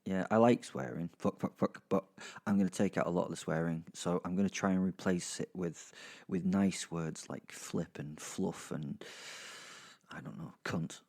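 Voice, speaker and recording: A man's voice: sits low, steady tone, normal speed, creaky, a little mellow. A man hosting a podcast in a casual, rambling way, chatty and dryly jokey, often interrupting himself. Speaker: podcast host and narrator. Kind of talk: casual rambling recap to listeners. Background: music under the voice.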